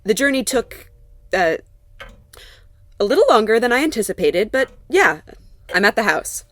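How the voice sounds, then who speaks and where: An actress playing a wry, guarded woman in a naturalistic audio drama, talking haltingly and gently. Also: a little high, sing-song, dry recording. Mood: casual, reassuring. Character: Sophie, warm, wry, guarded woman mechanic.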